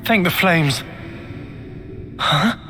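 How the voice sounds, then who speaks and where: A shaken, wary young soldier in a video game cutscene, with a medium-pitched voice. Music plays clearly behind the words. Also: expressive, drawn-out words.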